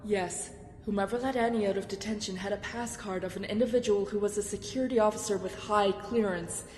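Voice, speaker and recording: A woman playing a dutiful military admiral, formal, measured and respectful, in a sci-fi drama. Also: fairly even intonation, a little mellow, lightly acted. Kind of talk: sci-fi audio drama acting. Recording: echoey hall, clear background rumble.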